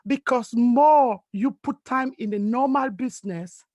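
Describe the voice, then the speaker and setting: Cameroonian-born woman giving an emphatic pitch. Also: unhurried, a little mellow, no echo, highs cut off. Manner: earnest, emphatic, non-native phrasing. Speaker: network marketing leader. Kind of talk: motivational speech on a video call. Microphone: video call.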